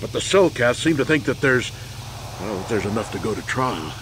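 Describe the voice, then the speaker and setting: A man's voice: mid-range voice, animated, fairly quick, noisy background, natural voice. An actor playing a crippled old war veteran in a video game, emphatic and protesting his innocence. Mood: defensive. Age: older.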